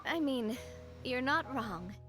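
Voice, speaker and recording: A chatty young friend in a video game, playful and full of questions. The voice sits high and moves expressively, with faint music underneath. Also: female voice, slow pace. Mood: playful, inquisitive. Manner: expressive, conversational. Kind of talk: video game character acting.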